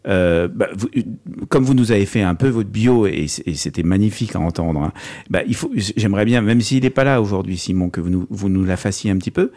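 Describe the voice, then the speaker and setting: A man's voice: fairly low pitch, a little fast, darkish tone. A man hosting an interview, asking his guest questions in a conversational way. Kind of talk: radio interview.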